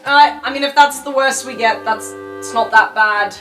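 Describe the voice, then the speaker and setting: A woman's voice: fairly high pitch, moderate pace. A woman playing in an improvised tabletop roleplay podcast, chatting casually in and out of character. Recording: echoey room, music behind.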